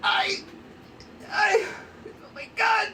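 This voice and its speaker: A man voicing a panicked, injured schoolboy in squeaky falsetto at a script table read. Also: level tone, extremely slow, pushed and bright, echoey room, recorded from a distance, a little hiss or hum, full character voice. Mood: tearful panic.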